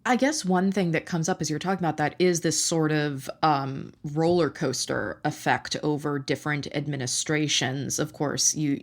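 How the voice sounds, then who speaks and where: A woman reporter hosting a podcast, asking long thoughtful questions with many hedges and fillers. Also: deep voice. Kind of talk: interviewing.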